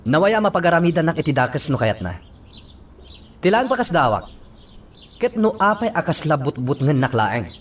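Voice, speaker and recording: A man's voice: on the high side, very animated, normal speed, pauses often, a little hiss or hum. A man playing a resolute, defiant hero speaking in a radio drama monologue. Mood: determined. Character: resolute man defying evildoers who threaten his town.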